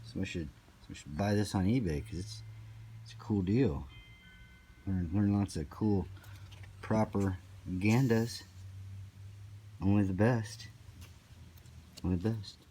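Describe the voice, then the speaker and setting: A man's voice: measured pace, long pauses, warm tone. A man giving casual, off-the-cuff commentary as he browses, rambling in a wry, slangy way. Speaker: online seller showing an item. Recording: noisy amateur recording with background rumble.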